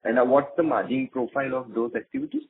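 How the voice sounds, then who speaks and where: A man with an Indian accent, an analyst putting questions in a halting way on an earnings call. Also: mid-range voice, breathy edge. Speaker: analyst caller. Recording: muffled, dull conference phone line.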